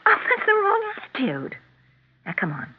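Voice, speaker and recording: A woman's voice: extremely high pitch, huge pitch swings, normal speed, exaggerated voice. A woman playing an earnest, coaxing middle-aged suburban hostess with an American accent, in 1970s radio drama style. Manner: coaxing, insistent. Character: earnest suburban hostess, devoted to her Ouija board. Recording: narrow-band 1970s radio recording.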